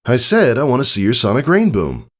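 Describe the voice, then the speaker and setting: A man's voice: medium pitch, wildly swooping pitch, brisk, pitched above the performer's own voice. A man voicing an easygoing father pegasus in a story, proud, teasing and encouraging in a casual, upbeat way.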